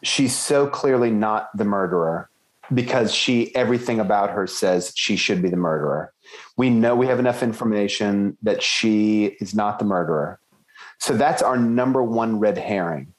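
A man co-hosting a casual book-club podcast, thinking aloud and hedging as he weighs theories with lively emphasis. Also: fairly low pitch, moderate pace. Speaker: podcast co-host.

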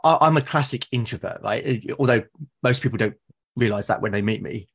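A man from Britain with a husky voice of middling pitch speaks as a podcast guest. He is reflective and tentative, casually working out his thoughts and doubling back on words. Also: brisk.

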